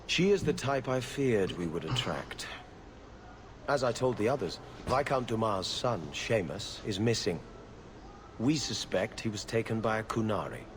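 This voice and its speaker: A weary, haughty steward of a noble court in a video game. His low voice moves animatedly through formal, clipped, disdainful phrases, over clearly audible background noise. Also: lively intonation, a little slow. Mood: formal, dismissive. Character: weary, haughty male court steward guarding a viscount's door. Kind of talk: scripted video game dialogue.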